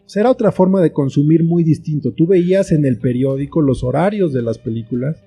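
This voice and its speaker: Middle-aged Mexican male interview guest, reflective, higher-set but very mellow voice, over guitar music. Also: brisk. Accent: Mexican Spanish. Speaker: interview guest, writer and filmmaker. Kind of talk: conversational interview. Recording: faint guitar music behind. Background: soft plucked guitar music.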